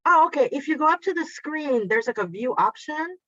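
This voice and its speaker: A woman's voice: sits high, expressive, a little fast, bright, forceful tone, dry recording. A woman whose first language is Japanese, presenting a teacher workshop online in a chatty, encouraging way and guiding the audience step by step. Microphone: video call. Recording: dull lo-fi video call audio.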